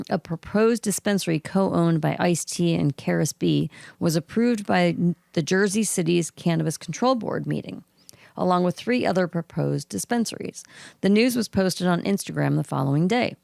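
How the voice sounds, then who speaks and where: A woman's voice: fairly low pitch, moderate pace, dry recording, studio quality. A woman podcast co-host with a froggy voice, reading cannabis news aloud in a casual way. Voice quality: froggy, gravelly voice. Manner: reads news items aloud at an even pace, with throat clearing.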